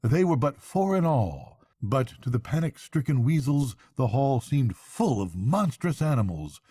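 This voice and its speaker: An older man reading a bedtime story as a grandfather would, in expressive storybook narration. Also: mid-range voice, measured pace, slightly rough.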